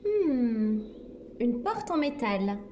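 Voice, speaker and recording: A woman playing a pessimistic, sarcastic robot companion in comedic audio fiction, with a deadpan, complaining manner. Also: on the high side, drawn-out words, heavily acted. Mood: gloomy, sarcastic. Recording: loud background noise.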